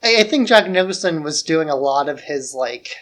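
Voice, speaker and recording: A man's voice: fairly high pitch, animated, moderate pace, a bit bright. A man chatting casually as a podcast co-host, informal and opinionated in how he talks.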